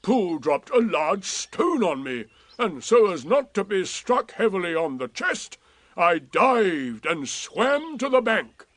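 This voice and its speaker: A gloomy old donkey , as a man reading aloud puts him on, drags every word out in a slow, sardonic drawl. His voice sits higher than you would expect and lifts and falls a lot. The sound is close and dry. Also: very animated, fairly bright tone, no echo, full character voice. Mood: glum. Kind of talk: storybook reading.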